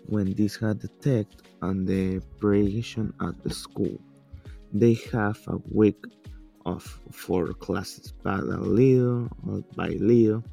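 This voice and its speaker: Slow, gravelly-voiced teenage boy. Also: lowish voice, dark tone. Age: teens. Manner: halting, non-native English with restarts. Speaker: student telling his story. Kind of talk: reading a personal account.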